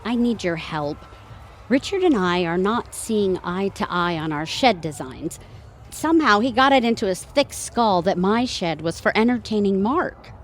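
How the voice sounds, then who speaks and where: A woman's voice: medium pitch, animated, moderate pace, close to an everyday voice. A woman playing an exasperated middle-aged wife and mother in an audio comedy, with faint noise behind. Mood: exasperated, pleading. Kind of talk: audio comedy drama acting.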